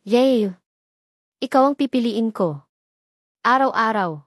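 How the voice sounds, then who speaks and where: A woman reading the lines of a grateful young woman in love, speaking softly and from the heart. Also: a little high, expressive, takes it slowly, no room sound, studio quality. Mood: heartfelt. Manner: soft, heartfelt. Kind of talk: storybook dialogue reading.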